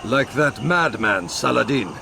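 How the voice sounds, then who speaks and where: An actor playing a proud, worldly crusader king in a video game, wry and philosophical, with a measured, grandiose manner. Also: mid-range voice, animated, vocal fry, noisy background. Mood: scornful, philosophical. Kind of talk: dramatic video game acting.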